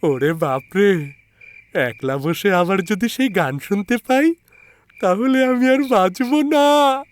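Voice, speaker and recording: A man's voice: sits high, takes it slowly, voice pushed up. A man voicing a terrified, superstitious villager in a horror audio story, pleading and repeating himself in panic. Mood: frightened, pleading. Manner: panicky, pleading, stammering repetitions.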